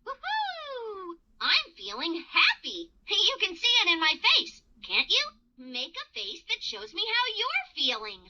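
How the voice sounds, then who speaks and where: A performer voicing a friendly young owl in a children's cartoon, speaking in a playful, expressive sing-song way directly to little children. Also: very high-pitched, slow pace, exaggerated voice. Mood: happy, excited. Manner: exaggerated, expressive, encouraging, addressing the child directly. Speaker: children's educational cartoon character. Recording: dull lo-fi sound, music behind.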